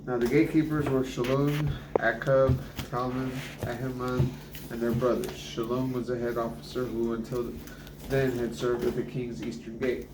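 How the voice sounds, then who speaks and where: A middle-aged man reading scripture aloud to his family at a kitchen table, halting and restarting on hard names. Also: mid-range voice, little pitch movement. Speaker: family Bible reader. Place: kitchen table at home, indoors. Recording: echoey kitchen with background noise.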